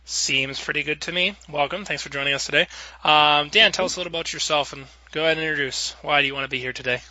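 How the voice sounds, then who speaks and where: A man's voice: on the high side, fast, sharp tone, no room sound. A man hosting a podcast in a casual, chatty, rambling way. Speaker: podcast host.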